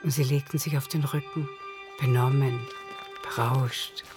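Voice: medium pitch, steady tone, measured pace. Radio play narrator over music. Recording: music behind the voice.

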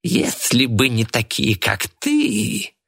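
A man voicing a cantankerous, sickly old man in an audiobook, spiteful and indignant, with a raspy, screechy voice. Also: huge pitch swings, takes it slowly, a bit bright, exaggerated voice. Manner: shrill indignant outbursts.

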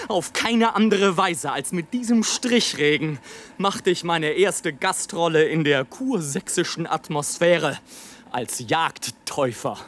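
A man's voice: fairly high pitch, slight background noise. An actor playing a bitter, world-weary male balloonist in a German radio play, declaiming in an eloquent, theatrical and ironic way.

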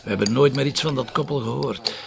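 A man's voice: mid-range voice, fast, creaky, crowd noise. An actor with a Flemish accent playing a grumbling, sceptical police chief and harried father in an audio drama. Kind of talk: naturalistic radio drama dialogue.

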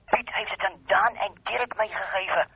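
A man's voice: sits high, normal speed, gravelly, a little hiss or hum. A man playing a nervous, hesitant, evasive petty crook in a radio drama. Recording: tinny telephone-like old radio recording.

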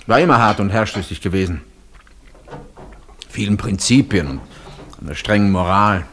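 An actor playing an outspoken, probing brother-in-law in a German radio play, speaking assertively and expressively. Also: male voice, lowish voice, normal speed, fairly bright tone, slight background noise, close to an everyday voice. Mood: insistent, reproachful. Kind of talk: German radio play acting, naturalistic dialogue.

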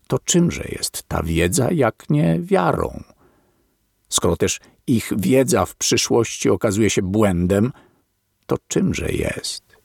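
Low gravelly man reading slowly. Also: a little mellow, no echo, studio quality. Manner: slow, weighty and deliberate, like a sermon. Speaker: narrator. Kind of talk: solemn audiobook reading of a philosophical text.

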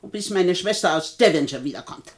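An actress in a 1960s radio play, playing a rich, bedridden old widow who is defiant, imperious and sharp-tongued. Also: female voice, on the low side, animated, fairly quick, lightly acted. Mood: amused defiance. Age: older. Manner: imperious, commanding, sardonic.

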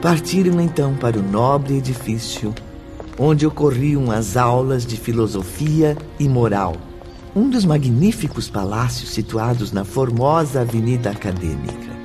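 A man narrating a radio drama in a literary, expressive, scripted manner. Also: medium pitch, measured pace. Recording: music behind the voice.

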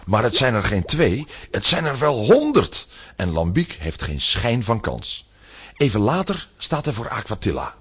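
A man with a lowish, husky voice tells a children's adventure story. His scripted narration swings dramatically up and down in pitch. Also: wildly swooping pitch, unhurried, fairly bright tone. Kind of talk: animated scripted narration of a children's radio play.

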